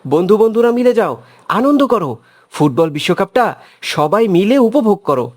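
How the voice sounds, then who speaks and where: A voice actor playing a nostalgic older adult in a cartoon, gently lecturing two boys. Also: mid-range voice, sing-song, brisk, heavy background noise, natural voice. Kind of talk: cartoon voice acting.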